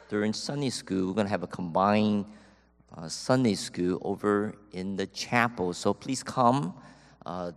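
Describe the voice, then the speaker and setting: Slow, halting man in a church hall. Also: low voice. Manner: halting, many fillers. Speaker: pastor introducing a guest speaker. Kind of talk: church announcements. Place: church sanctuary. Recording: long reverb of a church hall.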